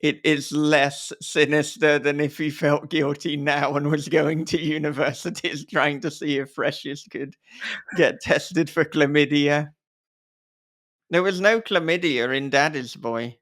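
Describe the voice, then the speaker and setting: A man's voice: fairly high pitch, fairly even intonation, unhurried, fairly bright tone. A man hosting a casual podcast interview in a jokey, rambling way, often chuckling through his phrases. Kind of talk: casual, joking interview chat.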